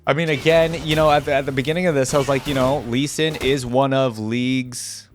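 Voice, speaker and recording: Guy doing a gaming reaction, slangy and excited, thinks out loud, faint music behind. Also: medium pitch, a little fast. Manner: slangy, enthusiastic, thinking aloud. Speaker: reaction video host. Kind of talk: casual gaming reaction commentary. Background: game music.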